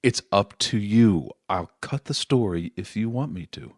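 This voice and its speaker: A man playing a remorseful politician, the father of a grown daughter, in an audio play, speaking in a halting, earnest way. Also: lowish voice, lively intonation, no echo, studio quality. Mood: resigned, careful. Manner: halting, earnest, repeats himself.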